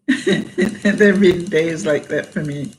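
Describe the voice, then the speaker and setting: An older woman with a Canadian accent and a hoarse, fading voice reads jokes aloud in a casual vlog and laughs often at them. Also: lowish voice, rough recording. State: hoarse, losing her voice. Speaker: vlogger.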